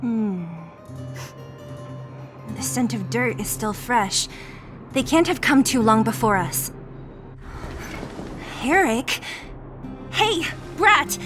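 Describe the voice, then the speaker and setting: Brash, sardonic young woman for a game role, high and very animated, music underneath. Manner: blunt, sardonic. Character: brash, guarded young woman from a slum town. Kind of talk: video game voice acting.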